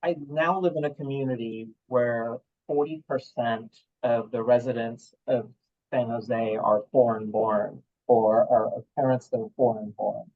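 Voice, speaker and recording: A man with an American accent with a slight Midwestern touch, speaking as a panel guest in a relaxed, wry way. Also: medium pitch, measured pace. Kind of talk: casual interview talk. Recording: tinny phone-like line.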